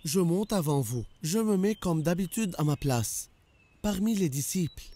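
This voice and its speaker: An actor playing a servile, nervous traitor disciple in a cartoon. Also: male voice, a little high, lively intonation, normal speed, mellow. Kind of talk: animated cartoon acting.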